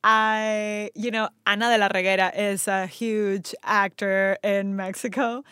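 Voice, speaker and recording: A woman's voice: medium pitch, measured pace, clear, resonant tone, dry recording, studio quality. A woman from Venezuela with a Venezuelan Spanish accent, a native Spanish speaker, talking animatedly in a casual interview about her acting and hosting work. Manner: animated, chatty, frequent restarts and laughter. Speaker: actor and TV host guest.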